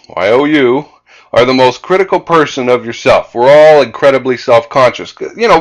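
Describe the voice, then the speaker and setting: A man with a Canadian accent presenting a sales lesson as a coach, speaking emphatically and deliberately and repeating key phrases. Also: mid-range voice, fairly bright tone. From Vancouver, Canada. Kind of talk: instructional slide presentation.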